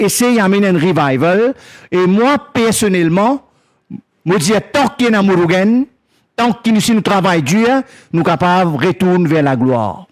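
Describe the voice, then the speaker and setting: High-voiced man making an earnest announcement. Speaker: project organizer announcing a launch. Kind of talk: spontaneous announcement in creole-tinged French.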